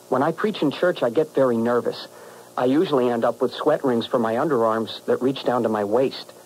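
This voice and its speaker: A man's voice: medium pitch, darkish tone, a little hiss or hum, lightly acted, poor audio. An American man reading a trainee pastor's grateful testimonial for a scripted radio advertisement.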